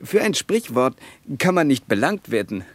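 An actor in a German radio play, playing a guarded, teasing young Russian teacher and reserve lieutenant. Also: male voice, mid-range voice, very animated, moderate pace, no echo. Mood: teasing, provocative.

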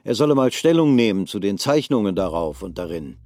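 A man narrating a radio drama in a calm, measured reading voice. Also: lowish voice, dry recording, studio quality. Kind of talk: scripted narration.

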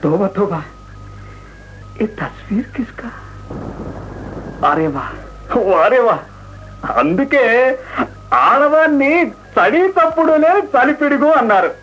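High-pitched fawning comic royal attendant. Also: male voice, expressive, takes it slowly, long pauses, heavily acted. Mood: flustered. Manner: exclamatory, theatrical, mock-pleading. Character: garrulous fawning comic male attendant to a prince. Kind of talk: radio play acting. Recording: muffled noisy old radio recording.

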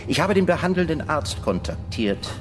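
An actor playing a learned forensic doctor with dry, morbid humour in a radio drama. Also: male voice, fairly high pitch, very animated, normal speed. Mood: matter-of-fact. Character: dryly humorous, Bible-quoting male forensic doctor. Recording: long hall reverb, music underneath.

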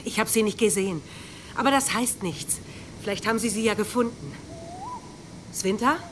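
A woman playing a concerned, gently firm village mother in a German audio drama. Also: mid-range voice, moderate pace, close to an everyday voice. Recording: clear ambient background noise.

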